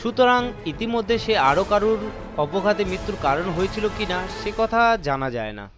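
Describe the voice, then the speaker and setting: A man reading a horror story as narrator, with deliberate, theatrical, suspenseful delivery. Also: high voice, big pitch swings, over music.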